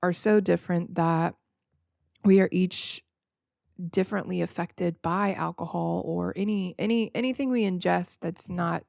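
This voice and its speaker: Female solo podcast host: gravelly fry, slightly nasal, reflective freestyle rambling, very dry close sound. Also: fairly low pitch, steady tone, a little mellow, dry recording. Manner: informal, reflective, halting and rambling with repeated words.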